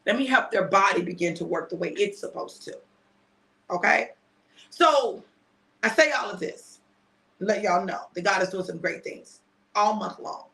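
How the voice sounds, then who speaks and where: A woman in her fifties, chatty and repetitive, mixing a prophetic word with a casual sales pitch and often asking "right?". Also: normal speed, gravelly, long pauses, bright, forceful tone, low-quality recording. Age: 50s. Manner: chatty, repeats phrases, asks "right?". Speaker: preacher selling a product.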